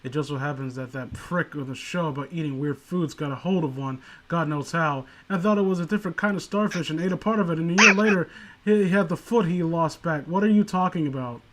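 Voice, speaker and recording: Sardonic man with a slightly high voice. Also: brisk, fairly bright tone, slight background noise, poor audio. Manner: sardonic, rambling, profane. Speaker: podcast co-host reading and mocking amateur horror stories. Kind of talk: casual comedic commentary.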